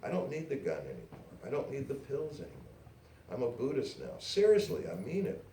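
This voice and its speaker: Brash, gushing woman for a story reading played by a man, lifted pitch, roomy distant sound. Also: huge pitch swings, a little fast, mellow. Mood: gushing, earnest. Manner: emphatic, breathless insistence, calls people dearie. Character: brash, excitable woman small-press publisher, proud and gushing. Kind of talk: dialogue in a live literary reading. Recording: roomy, distant live recording with noise.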